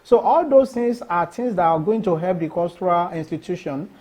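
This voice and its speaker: Husky high-voiced Nigerian man. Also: lively intonation, normal speed, a little mellow. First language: Yoruba. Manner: earnest, emphatic, repeats and restarts phrases. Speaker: cultural festival organizer. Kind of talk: interview answers.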